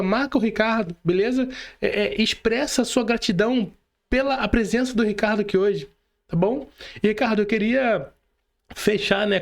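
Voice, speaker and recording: A Brazilian man speaking casually as a podcast host, in informal, slangy talk addressed straight to his listeners. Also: high voice. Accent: Brazilian Portuguese.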